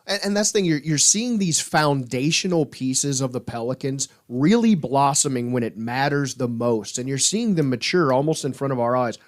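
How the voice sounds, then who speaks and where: A man's voice: medium pitch, lively intonation, moderate pace, few pauses. A man co-hosting a casual sports podcast, talking in an enthusiastic, colloquial way. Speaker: podcast co-host.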